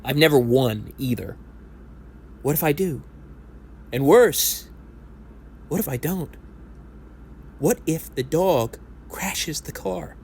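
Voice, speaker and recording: A man's voice: fairly high pitch, lively intonation, takes it slowly, gravelly, pauses often, darkish tone, slight background noise, heavily acted. An actor playing a hopeless, overthinking sports fan from New York in an audio drama, a man who rambles neurotically and philosophizes. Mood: anxious musing. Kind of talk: audio drama monologue and dialogue.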